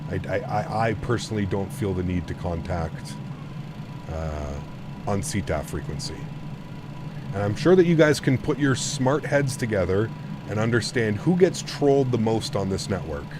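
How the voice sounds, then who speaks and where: A man with a deep voice streaming a flight sim, talking straight to his chat and explaining himself at length with repeated restarts. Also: animated, normal speed, long pauses, noisy background. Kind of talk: casual live-stream chat.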